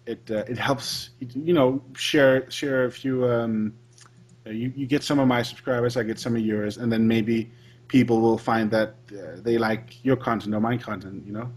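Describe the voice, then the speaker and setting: Husky podcast guest guy, keeps restarting, explains stuff. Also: medium pitch, steady tone, moderate pace. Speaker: guest Let's Player. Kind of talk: casual podcast chat.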